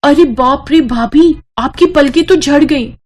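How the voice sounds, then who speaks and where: A woman voicing an eager, wide-eyed teenage girl with an excitable, chirpy manner. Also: high voice, unhurried, pitched above the performer's own voice. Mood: amazed.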